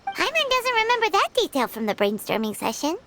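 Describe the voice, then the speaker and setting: A woman voicing a tiny, excitable childlike fairy companion in a video game, squeaky and exclamatory. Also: a little fast, background noise, heavily acted. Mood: puzzled, inquisitive. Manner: squeaky, exclamatory, speaks of herself in third person. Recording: music playing behind the voice.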